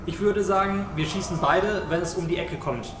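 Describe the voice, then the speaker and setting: A man's voice: sits high, normal speed, lightly acted. A man playing a matter-of-fact, dryly bossy alien tracker in an amateur sci-fi comedy audio drama. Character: self-appointed tracker leading friends after an alien. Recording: echoey, distant sound with noisy ambience.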